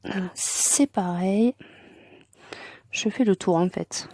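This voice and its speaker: A woman's voice: mid-range voice, slow pace, a little mellow. A woman with a head cold hosting an informal hands-on colouring tutorial, murmuring hesitant, short step-by-step remarks as she works. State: congested with a cold.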